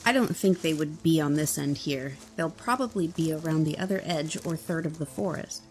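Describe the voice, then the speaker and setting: A woman's voice: deep voice, moderate pace, a little mellow, soft background music, natural voice. A woman playing a cautious, practical traveller in a fantasy audio drama, speaking in an earnest, analytical way. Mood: thoughtful.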